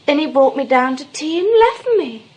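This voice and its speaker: A woman's voice: high-pitched, expressive, normal speed, darkish tone. An actress playing a naive, fretful young woman guest, plaintive and querulous, in stage comedy. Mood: aggrieved, bewildered. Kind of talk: theatrical stage comedy acting.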